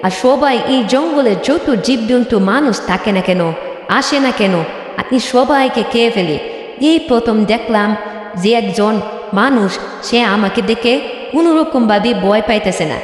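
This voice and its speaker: A man's voice: very high voice, a little slow, voice pushed up. A man voicing a fearsome man-eating female witch in a cartoon fairy tale, boastful and threatening, with an echoing effect on the voice. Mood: menacing. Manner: boastful, threatening, repetitive questioning. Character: fearsome man-eating female witch of the jungle, menacing but soft-hearted. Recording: echoey with long hall reverb.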